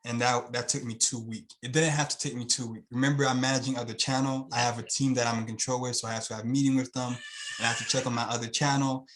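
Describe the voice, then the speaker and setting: A man's voice: medium pitch, flat delivery, quick pace, a bit bright. A man from Haiti with a Haitian accent, a guest talking on a video call in an earnest, rambling way with lots of fillers. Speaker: guest, channel owner. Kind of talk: casual video-call interview.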